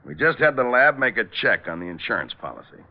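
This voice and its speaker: An actor playing a gruff, impatient police lieutenant with an exasperated manner, in old radio crime drama style. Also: male voice, mid-range voice, big pitch swings, brisk. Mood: stern. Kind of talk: 1940s-50s radio crime acting. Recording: dull old radio recording.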